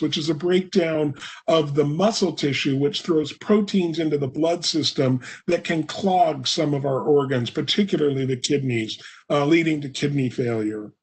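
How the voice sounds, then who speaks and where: A man presenting a remote webinar lecture into a computer microphone, hoarse and coughing now and then, explaining at a measured pace with many fillers. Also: on the high side.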